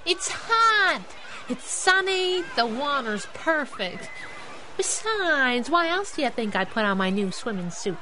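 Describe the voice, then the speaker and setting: A woman's voice: high voice, big pitch swings, takes it slowly, noisy background, heavily acted. A woman playing a plucky, teasing young superhero sidekick in a radio drama, brash and playful. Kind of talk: 1930s-set radio superhero drama acting.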